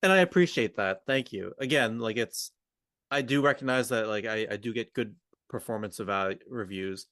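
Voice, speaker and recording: Male co-host for a coaching podcast: lower register, very dry sound, informal, works out thoughts mid-sentence. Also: moderate pace, a bit bright, no echo. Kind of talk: conversational coaching discussion with roleplay.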